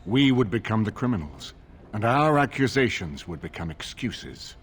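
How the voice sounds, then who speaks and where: Low-voiced, stern older nobleman. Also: very animated, a little hiss or hum. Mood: grave, reasoning. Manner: formal, measured, authoritative. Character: stern, grave older nobleman of a fantasy land. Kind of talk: video game dialogue acting.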